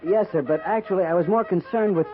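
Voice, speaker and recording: Actor playing a flustered, higher-voiced cavalry lieutenant. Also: male voice, fast talker, over music, lightly acted. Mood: troubled, hesitant. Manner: hesitant, polite, stammering. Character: earnest, flustered, deferential young cavalry lieutenant. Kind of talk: 1950s radio western acting. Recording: noisy, narrow 1950s radio recording.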